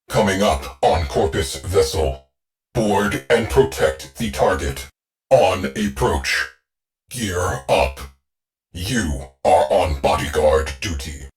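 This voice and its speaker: An actor playing a calm, terse male ship AI that gives clipped, deadpan tactical orders in a video game. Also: sits low. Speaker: ship's onboard AI.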